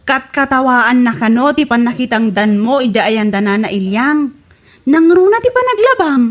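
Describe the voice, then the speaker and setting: A woman's voice: sits high, lively intonation, normal speed. A woman playing a bossy, teasing village gossip in an Ilocano radio comedy drama, with an Ilocano accent.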